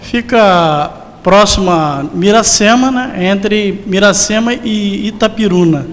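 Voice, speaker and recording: A man in his forties with a high-pitched voice. He answers as an interview guest, politely and simply, often hesitating and adding tag questions. The recording rings like a large hall. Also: takes it slowly. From rural interior of Rio de Janeiro state, Brazil. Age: 40s. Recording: reverberant hall.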